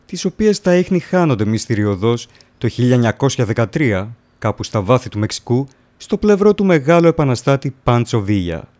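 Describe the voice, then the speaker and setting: A man playing a sly, macabre coroner who hosts horror stories in a theatrical, deliberate manner. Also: medium pitch, darkish tone, lightly acted. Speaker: host of horror story series. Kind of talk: theatrical horror anthology introduction.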